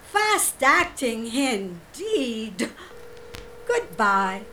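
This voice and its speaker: A woman's voice: on the high side, sing-song, pushed and bright, slight background noise, heavily acted. An actress in an audio drama playing a genteel, coolly devious woman who feigns sweet innocence, speaking politely and hesitantly. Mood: mock concern. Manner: hesitant, polite, drawn-out delivery.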